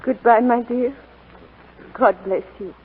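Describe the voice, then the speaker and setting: An actress playing a gentle, affectionate elderly grandmother in a 1940s radio drama. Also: on the high side, fairly even intonation, takes it slowly, a little hiss or hum. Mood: tender, frail. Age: older. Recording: muffled 1940s radio broadcast, music behind.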